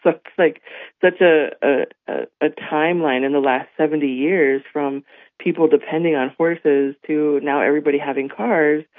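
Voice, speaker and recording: A man with a slightly raised, somewhat hoarse voice tells stories in a radio interview, leaning on fillers and checking in with "right?". The sound is dry and thin, like a phone line. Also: fairly high pitch, dry recording. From North Dakota. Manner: warm storytelling with many fillers and "right?". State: voice a little hoarse. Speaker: guest. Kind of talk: radio interview, casual talk.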